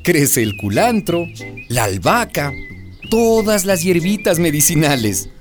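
Lively female narrator with swooping pitch. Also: unhurried, faint music behind. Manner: playful storytelling. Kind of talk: scripted radio drama narration.

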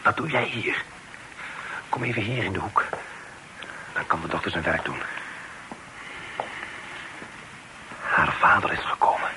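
An actor playing an earnest gentleman in a period radio drama. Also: low-pitched, lively intonation, normal speed, heavy background noise. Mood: hushed, grave.

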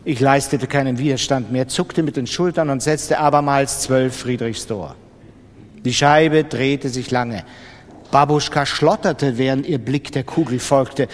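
A man narrating a dramatized literary reading in an expressive, deliberate storytelling manner. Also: mid-range voice. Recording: long reverberant hall.

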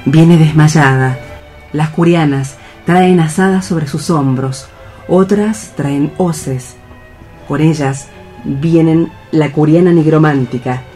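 A man's voice: a little high, slow, warm tone. A man narrating radio theatre, reading out scripted stage directions. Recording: faint music behind the voice. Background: faint music.

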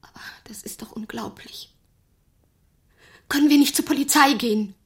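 A woman's voice: high voice, normal speed, close to an everyday voice. An actress playing the shaken, incredulous female client of a detective in a radio crime drama. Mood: hushed worry.

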